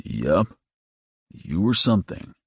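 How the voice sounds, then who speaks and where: A man voicing a gruff, weary, laconic demon hero in an audiobook. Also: low-pitched, very animated, slow pace, no echo, clean studio sound, full character voice. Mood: grim, dry. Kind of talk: audiobook character voice.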